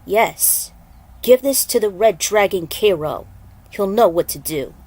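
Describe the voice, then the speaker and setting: A woman voicing a solemn, godlike male warrior and chosen protector, speaking in a grave, deliberate, declamatory way. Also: medium pitch, expressive, a little mellow. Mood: solemn, commanding.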